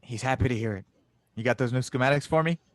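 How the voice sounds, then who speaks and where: A man's voice: medium pitch, quick pace, no room sound, voice pushed up, close to an everyday voice. A man playing an earnest, teasing inventor in a fan audio drama table read. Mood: playful, upbeat. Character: warm, teasing male inventor, reunited with an old friend.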